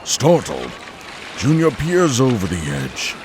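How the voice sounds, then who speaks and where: A man's voice: mid-range voice, wildly swooping pitch, takes it slowly, noisy background. A man narrating a scripted cartoon story in a dramatic style.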